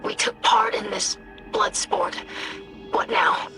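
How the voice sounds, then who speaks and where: An actress playing a concerned field ally on a mission in a video game. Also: female voice, medium pitch, fairly even intonation, slow pace, music in the background, close to an everyday voice. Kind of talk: video game cutscene acting.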